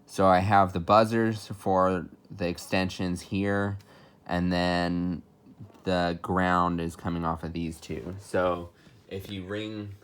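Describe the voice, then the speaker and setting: A man's voice: deep voice, level tone, slow, clean tone. A man giving a casual, unscripted technical walkthrough in a matter-of-fact, explanatory way, as a hobbyist showing equipment. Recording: noticeable background noise with clicks.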